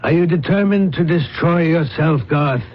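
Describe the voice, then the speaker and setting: A man playing a stern, lordly ruler in a radio drama, with a husky voice and formal, imperious commands. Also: fairly high pitch, normal speed, natural voice. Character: stern authoritarian male ruler, lordly, coldly controlled. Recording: dull old radio broadcast.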